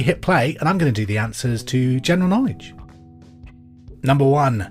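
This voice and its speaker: A man with a British accent hosting a pub quiz podcast in an informal, chatty way with jokey asides. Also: mid-range voice.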